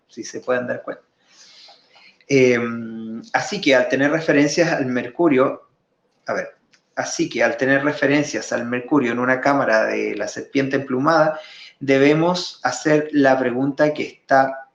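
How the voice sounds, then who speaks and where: A man presenting slides in a live online talk. He explains at length, often pausing and repeating words. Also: mid-range voice, fairly bright tone, reverberant, lo-fi sound. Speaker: guest presenter.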